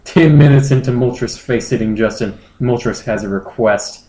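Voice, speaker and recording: A man's voice: medium pitch, a little slow, mellow, reverberant. A man giving a comedic, mock-serious dramatic reading of a story as a podcast narrator, sounding amused.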